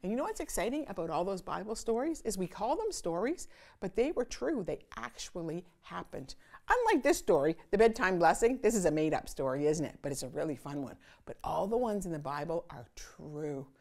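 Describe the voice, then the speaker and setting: Woman, children's story host, low pitch, lively intonation, read-aloud style, chatty asides to listeners. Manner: pauses to chat with and question young listeners. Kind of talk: animated read-aloud of a picture book for children.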